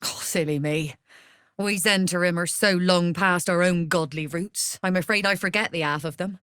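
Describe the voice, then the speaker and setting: A woman's voice: fairly low pitch, moderate pace, fairly bright tone, clean tone, no room sound, clean studio sound, close to an everyday voice. A woman playing a shrewd, mercenary crime boss in a video game, wry and self-assured with cool menace. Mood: wry, businesslike. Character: shrewd, mercenary female Zhentarim smuggler boss, threatening and wry. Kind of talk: video game character voice lines.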